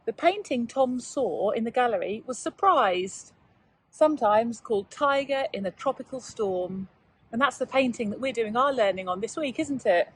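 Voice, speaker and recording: Woman, teacher, higher-pitched, husky, dry close sound, measured read-aloud delivery for young children. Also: no echo, low-quality recording. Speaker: teacher reading to pupils. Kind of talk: children's read-aloud and informal lesson talk.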